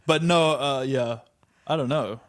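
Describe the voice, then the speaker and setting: A man's voice: medium pitch, a little slow. A man in his twenties from New Zealand, a podcast guest who chats casually and laughs often. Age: 20s. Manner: relaxed, laughs often, says "like" a lot.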